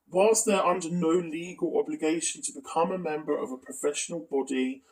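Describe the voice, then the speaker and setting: Man commentating, creaky higher-pitched voice. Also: darkish tone, far from the mic, rough recording. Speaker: video commentator. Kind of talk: critical explanatory commentary.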